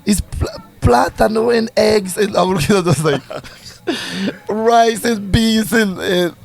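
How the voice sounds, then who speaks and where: A man's voice: sits high, slow, creaky. A man from Haiti with a Haitian accent, speaking as a musician in a casual interview, searching for his words as he goes. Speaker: musician, singer and bandleader being interviewed.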